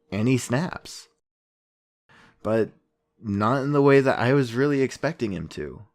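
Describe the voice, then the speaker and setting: An actor playing an earnest, troubled journalist, narrating reflectively with hesitant pauses like a podcast host. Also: male voice, mid-range voice, darkish tone, close to an everyday voice. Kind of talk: podcast-style narration in audio drama.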